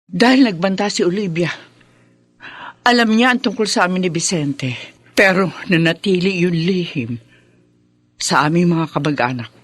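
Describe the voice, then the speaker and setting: A woman's voice: fairly low pitch, moderate pace, long pauses, husky, no echo. A woman playing an anxious, resigned middle-aged mother who is confessing in a radio drama. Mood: fearful, resigned. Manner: confessional, explanatory.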